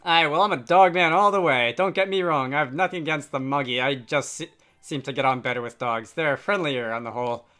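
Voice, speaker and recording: A man's voice: a little high, brisk, fairly bright tone, faint music behind, natural voice. Man reading as jovial, opinionated wizard fond of drink. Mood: friendly.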